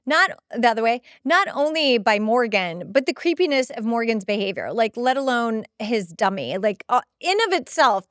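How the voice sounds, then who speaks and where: A woman hosting a casual TV rewatch podcast, talking in an animated, conversational way with incredulous asides. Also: a little high, clean tone, no echo, clean studio sound. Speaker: podcast host.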